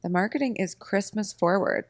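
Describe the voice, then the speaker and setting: A young adult woman with a slightly raspy voice, co-hosting a podcast in casual, playful chat. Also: medium pitch, moderate pace, no echo, clean studio sound. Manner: laughs often, chatty and playful. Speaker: podcast co-host.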